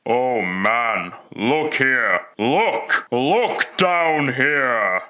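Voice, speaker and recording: A man's voice: mid-range voice, lively intonation, very slow, pushed and bright, heavily acted. A man playing an aged, stern and solemn Christmas spirit in a classic radio drama, speaking in an ominous declamatory way. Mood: urgent, commanding.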